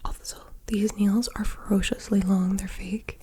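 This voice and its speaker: A woman rambling in a half-whispered, soft-spoken ASMR style, breathy and unhurried, talking close to a sensitive mic. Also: mid-range voice, flat delivery, a little hiss or hum. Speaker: ASMR creator.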